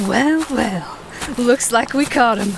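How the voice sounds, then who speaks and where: A woman's voice: a little high, background noise, full character voice. A woman voicing a gloating, mocking captor who laughs at the people caught in a trap. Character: gloating cackling captor on a hostile island. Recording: background music.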